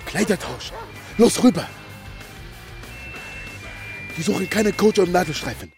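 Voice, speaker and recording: A man's voice: high-pitched, expressive, a little fast, gravelly, over music. An actor playing a hunted, agitated young man in a radio drama, speaking in short clipped phrases. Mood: urgent. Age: young adult. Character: hunted young Ghanaian man living illegally, wary and agitated. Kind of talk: naturalistic radio drama acting.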